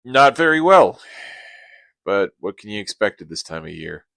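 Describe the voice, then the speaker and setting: Man acting wry, lively, sardonic best friend. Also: on the low side, moderate pace, creaky, no room sound, full character voice. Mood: resigned, casual. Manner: dry, wisecracking. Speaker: actor in a radio comedy play. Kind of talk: scripted radio play comedy dialogue.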